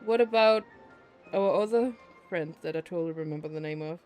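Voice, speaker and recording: A man's voice: fairly high pitch, brisk, darkish tone. A man giving casual, rambling, incredulous commentary as he streams a game and banters with viewers. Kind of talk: casual live gameplay commentary. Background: faint game music.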